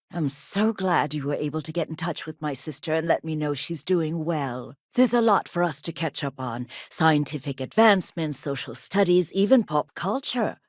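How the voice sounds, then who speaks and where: Expressive, earnest woman historian, low-voiced. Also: no room sound, studio quality. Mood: friendly, eager. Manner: articulate, earnest, polite. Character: earnest, gracious woman historian and teacher on a colony ship. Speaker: video game character voice actor. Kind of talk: scripted game character dialogue.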